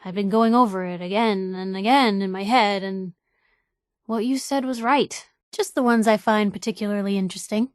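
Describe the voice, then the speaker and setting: An actress playing a feisty, wry young newspaper reporter in a video game, with an American accent and a conversational, sardonic delivery. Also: female voice, medium pitch, dry recording, studio quality, close to an everyday voice. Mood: hesitant, sincere. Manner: sardonic, conversational, quick-witted asides. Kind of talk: video game companion dialogue.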